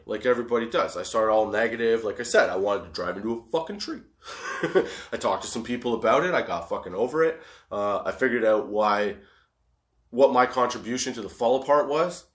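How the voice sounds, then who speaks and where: A high man rambling casually and profanely about getting past setbacks, laughing often. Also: a bit bright, reverberant. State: high on cannabis.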